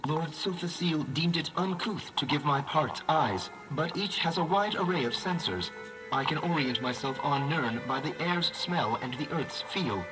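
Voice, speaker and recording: A man's voice: on the high side, expressive, normal speed, over music, close to an everyday voice, rough recording. A man voicing an ancient, earnest clockwork automaton in a video game, with a grandiose, theatrical manner. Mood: dreamy, proud. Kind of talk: AI-voiced video game dialogue.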